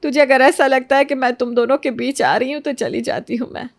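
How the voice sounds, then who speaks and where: A woman's voice: sits high, fairly even intonation, a little fast, creaky, pitched above the performer's own voice. Woman acting an emotional, devoted elderly mother. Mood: hurt, pleading. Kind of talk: Hindi cartoon story acting.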